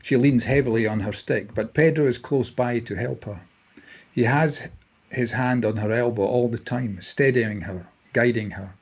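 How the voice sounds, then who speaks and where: Husky male narrator reading stage directions. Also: medium pitch, normal speed. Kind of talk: scripted narration for a table read.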